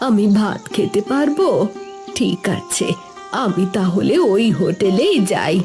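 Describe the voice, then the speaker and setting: A woman's voice: mid-range voice, very animated, measured pace, a little mellow, faint music behind. A woman voicing a poor, hungry old woman in a cartoon, humbly pleading. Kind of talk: cartoon voice acting.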